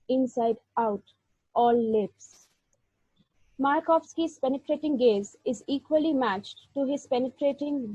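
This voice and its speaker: Woman, lecturer, measured delivery, husky, close dry lo-fi recording. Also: high-pitched, dark tone, no echo. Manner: measured, deliberate delivery with occasional slips. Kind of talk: illustrated lecture with poetry reading. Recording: dull, lo-fi sound.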